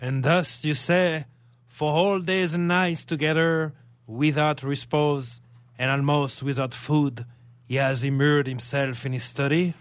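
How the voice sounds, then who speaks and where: A man playing an earnest, concerned gentleman in a radio drama, with formal, measured theatrical delivery. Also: on the high side, fairly even intonation, clean tone, no echo, natural voice. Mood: earnest, worried.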